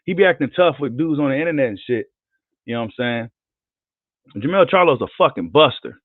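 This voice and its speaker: A hoarse man who says he is losing his voice, ranting heatedly and profanely on a live boxing vlog. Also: mid-range voice, animated, fairly quick, no echo. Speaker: boxing commentator. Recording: tinny phone-like line, dry.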